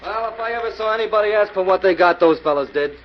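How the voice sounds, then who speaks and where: A muffled old radio recording of a man playing a plain-spoken railroad worker. His voice is high and quick, excitable, in 1940s radio drama style. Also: a little hiss or hum, close to an everyday voice. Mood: excited.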